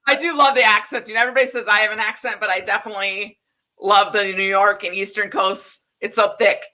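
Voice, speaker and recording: Bright, chatty woman host. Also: mid-range voice, steady tone, fast talker. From Wisconsin. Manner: chatty, laughing often. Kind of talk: casual interview hosting.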